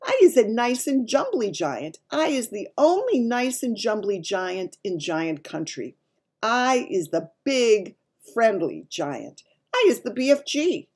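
A woman's voice: on the high side, sing-song, slow, slightly rough, pitched above the performer's own voice, full character voice. A woman voicing a big male giant from a children's storybook, blustery and emphatic, with muddled grammar and invented words. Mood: indignant, proud. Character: big friendly giant man, blustery, mangles his words.

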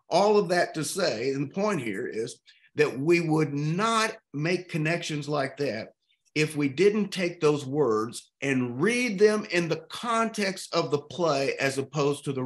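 A man's voice: fairly high pitch, big pitch swings, measured pace. Thoughtful man from East Texas on a video call. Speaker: literature discussion leader. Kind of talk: animated literary discussion. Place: home room with art on walls.

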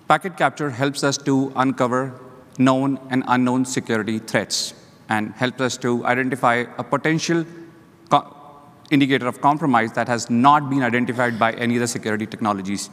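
A man's voice: mid-range voice, fairly even intonation, brisk, a bit bright. A man on a conference stage giving a structured, list-like talk as a panelist on a lapel mic. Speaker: panelist, security leader. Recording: reverberant hall.

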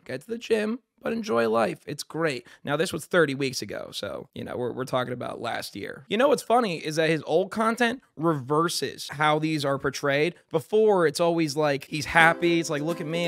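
A man's voice: fairly high pitch, expressive, normal speed, gravelly, fairly bright tone, no room sound. A young man in his twenties who streams commentary from a small bedroom studio and talks into a close studio microphone. He talks casually and sarcastically, swears often and acts out mocking quotes. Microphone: studio mic close up on a boom arm.

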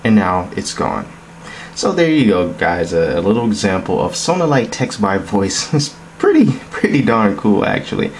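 A man with a low-pitched voice walks through an app demo. He talks informally and explains each step conversationally. The recording is indoors with a light layer of background noise. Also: very animated, moderate pace. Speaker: app demonstrator. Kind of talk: casual tech demo narration. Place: indoors, phone demo on a wooden table.

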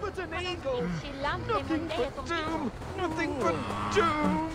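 A man's voice: very high voice, takes it slowly. An actor playing a raving, dread-filled male villager in a video game, muttering ominously and repeating himself. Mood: doom-laden dread. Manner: erratic, repetitive, ominous muttering and exclaiming. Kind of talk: dramatic video game cutscene acting.

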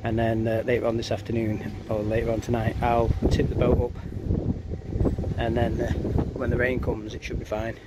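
Flat-toned man, noisy outdoor recording. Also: medium pitch, moderate pace. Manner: chatty, informal, rambling. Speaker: DIY vlogger. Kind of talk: casual handheld vlog narration. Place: outdoors in a back garden. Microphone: camera or phone held by the speaker. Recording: outdoor ambient noise, handheld camera.

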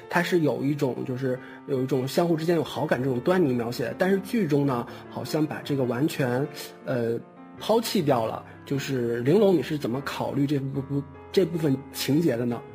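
A man's voice: medium pitch, normal speed, mellow, faint music behind. A man hosting an informal cast chat, with a hesitant, teasing way of asking questions. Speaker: host.

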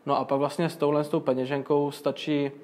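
A man's voice: a little high, flat delivery, darkish tone. A young man answering interview questions in a casual way, explaining at length with frequent fillers and restarts. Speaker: guest, crypto magazine owner.

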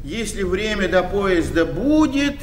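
Old villager, high-pitched, halting, grumbling but kindly, radio drama, echoey room. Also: male voice, very animated. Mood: awkward, consoling. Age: older. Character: awkward, kindly old village widower, reluctant suitor. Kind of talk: 1990 Russian radio play acting. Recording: roomy, distant radio recording with faint hiss.

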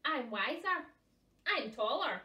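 A woman voicing a boastful, childishly competitive storybook puffin in a loud, emphatic, taunting way. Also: high-pitched, expressive, slow pace, full character voice. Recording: roomy echo, distant microphone.

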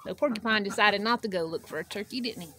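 A middle-aged woman reading a picture book aloud to children, expressively, pausing to ask her young listeners simple questions. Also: medium pitch, pushed and bright. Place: outdoors beside a wire poultry pen. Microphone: camera a step or two away. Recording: outdoor noise with clucking poultry. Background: chickens and outdoor ambience.

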